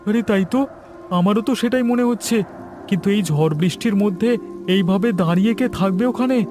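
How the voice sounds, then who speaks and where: A man's voice: high-pitched, moderate pace, music in the background, lightly acted. A man voicing a jumpy, superstitious villager in a cartoon ghost story, chatty and full of alarmed exclamations. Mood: spooked.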